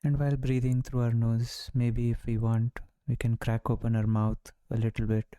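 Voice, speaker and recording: A man leading a guided relaxation meditation, speaking calmly and soothingly, leaving long pauses between short phrases. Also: mid-range voice, clear, resonant tone, no echo, studio quality. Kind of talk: guided body-scan meditation.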